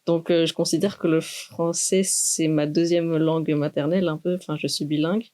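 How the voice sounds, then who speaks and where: A young woman, a doctoral student speaking in a thoughtful, measured way with many pauses in a university podcast interview. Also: low voice, steady tone, warm tone. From Greece. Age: 20s. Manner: measured, thoughtful, with frequent hesitations.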